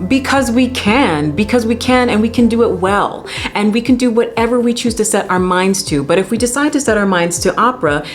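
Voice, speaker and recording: A woman in her thirties, an opera singer, talking straight to camera from her living room with lively, expressive delivery. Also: on the high side, brisk, few pauses, soft background music. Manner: animated, expressive, talks with her hands. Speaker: opera singer and channel host. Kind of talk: direct-to-camera vlog talk. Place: home living room with bookshelves.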